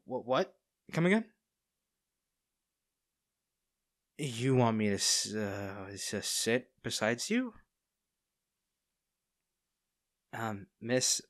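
A man's voice: lowish voice, a bit bright, echoey room, long reverb tail. A man playing a stern, exasperated bodyguard with secret ill intent in a scripted audio roleplay. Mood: flustered. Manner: polite to her, muttering dryly in asides. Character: stern, exasperated young male bodyguard secretly plotting against his charge.

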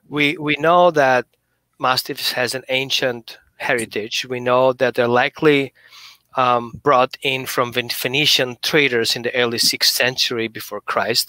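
A man's voice: mid-range voice, sharp tone, no echo, low-quality recording. A middle-aged man from Greece hosting a casual video-call chat about dogs, explaining in a friendly way with small hesitations. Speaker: host, holistic dog behaviorist. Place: small room indoors. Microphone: headset mic on a video call.

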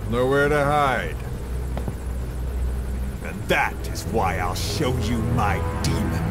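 An actor playing a disfigured, taunting villain in a video game cutscene, ominous and theatrical, with dramatic music behind. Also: male voice, medium pitch. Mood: grim, menacing. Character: disfigured, menacing male villain, hateful, taunting and grandiose.

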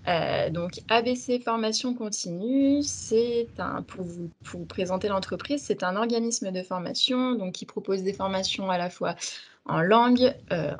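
A young woman opens an online webinar, hesitating often and filling pauses as she goes. Her voice sits at a middle pitch, with a faint background hiss from the call. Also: darkish tone. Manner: hesitant, many fillers. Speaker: communications and recruitment officer, webinar host. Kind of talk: webinar introduction.

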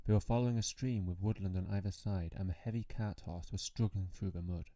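A man's voice: low-pitched, fairly even intonation, brisk, dark tone, no echo. A man reading scripted story narration. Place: close, dry voice-over recording.

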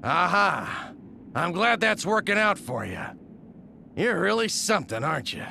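A brash young-at-heart video game warrior, with a very gravelly edge and a bright, forceful tone. His breezy, teasing lines swing widely in pitch. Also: sing-song, a little slow, slight background noise, full character voice. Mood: amused, friendly. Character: brash, casual, plain-spoken male fellow warrior. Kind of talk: video game character acting.

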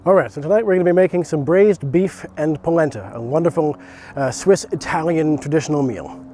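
Higher-pitched man, casual and explanatory. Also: fairly quick, mellow. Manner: conversational, explanatory. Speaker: host. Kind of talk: casual hobby chat and cooking demonstration. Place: outdoors in a leafy backyard.

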